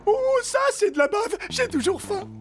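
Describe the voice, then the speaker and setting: Naive male cartoon boar, extremely high voice, whiny, childlike, earnest, music behind. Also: lively intonation, moderate pace. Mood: sulky. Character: naive, sensitive, hungry male boar posing as pet cat. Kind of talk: French animated cartoon dub.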